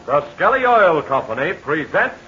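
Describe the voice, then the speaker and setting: A man announcing a 1940s radio serial, making the sponsor's pitch to young listeners in an eager, breathless kid-show sales style, heard on a dull lo-fi old radio transcription. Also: big pitch swings, normal speed, heavy background noise.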